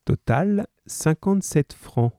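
A man's voice: on the high side, lively intonation, slow, mellow, no room sound, studio quality, pitched above the performer's own voice. A man voicing a grasping, curt innkeeper who calls out his demands bluntly, in an audiobook. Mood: curt, demanding.

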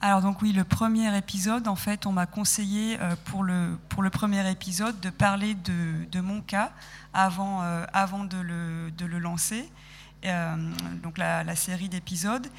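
A woman in her thirties, a radio guest, explaining her project in a thoughtful, halting way and correcting herself often. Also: medium pitch, steady tone, big-room sound. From France. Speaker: guest, sound podcast maker. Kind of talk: radio interview conversation.

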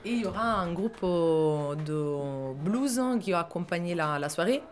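Halting woman with a low voice. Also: expressive. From Italy. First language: Italian. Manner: hesitant, halting, many fillers and restarts. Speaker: guest, restaurant cook. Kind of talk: casual interview talk.

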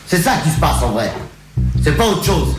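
A man's voice: fairly high pitch, sing-song, moderate pace. A man making a spoken activist address in an archive clip. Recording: echoey archive clip with music. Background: music.

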